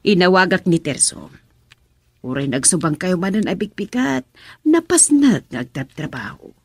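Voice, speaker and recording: A concerned older mother in a Filipino radio drama. She is very husky and breathy, admonishing with wide swings of pitch, in a dry recording. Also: big pitch swings, moderate pace, dry recording, full character voice. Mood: coaxing.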